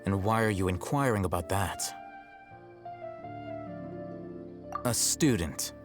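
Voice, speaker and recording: A man's voice: sits low, animated, normal speed, over music. A man playing an aloof, coolly rational young scholar in a video game, blunt, detached and articulate. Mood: skeptical, dismissive. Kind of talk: video game character acting.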